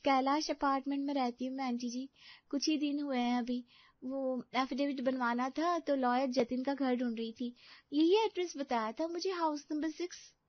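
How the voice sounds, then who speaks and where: Woman acting a high-voiced, polite young woman. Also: fairly even intonation, normal speed, no echo, dull audio, close to an everyday voice. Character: polite young woman, a new neighbour visiting.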